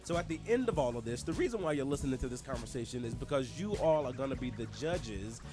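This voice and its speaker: A man co-hosts a chatty show with a playful, jokey delivery. His pitch moves around a lot as he banters and drops slangy phrases. Music plays clearly behind him. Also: fast. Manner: jokey and animated, with slangy shout-outs. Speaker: co-host of a community talk show.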